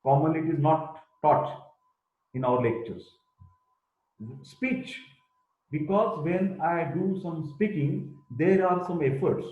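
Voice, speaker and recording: Older Indian-accented professor, emphatic lecturer, tinny phone-like sound in a roomy echo. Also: male voice, a little high, slow. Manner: emphatic, repeats key terms, chants Sanskrit verses. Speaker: Ayurveda professor. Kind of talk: recorded lecture.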